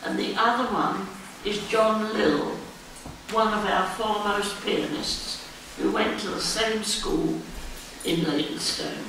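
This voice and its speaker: Woman guest speaker, very slow and gracious, distant mic in a reverberant hall with noise. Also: medium pitch. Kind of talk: talk and Q&A with schoolchildren. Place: school hall. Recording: echoey hall from far off, background noise.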